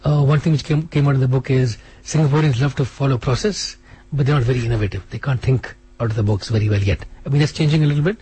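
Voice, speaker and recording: Male radio guest, rapid delivery, husky low-lit timbre. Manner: fast, animated, restarts often. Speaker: interview guest, author. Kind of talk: casual radio interview. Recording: radio studio.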